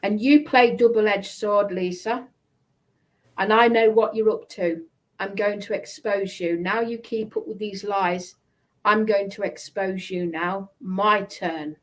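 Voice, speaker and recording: A woman's voice: mid-range voice, steady tone, unhurried, rough recording. A woman live streamer delivering a confrontational monologue in an accusatory, emphatic, repetitive manner.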